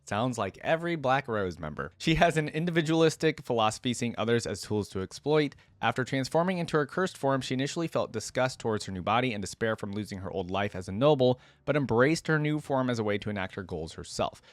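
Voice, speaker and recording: A man's voice: mid-range voice, animated, fast, hardly any pauses, no room sound. Casual male reactor with a dry, close sound. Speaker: reaction video host. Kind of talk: casual reaction commentary, partly reading aloud from a wiki.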